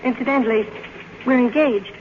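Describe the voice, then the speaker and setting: A woman's voice: on the high side, moderate pace, close to an everyday voice. An actress as an earnest young woman working as a studio script reader in a vintage radio play. The recording is muffled, with faint noise. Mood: earnest, eager. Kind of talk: 1950s radio drama acting.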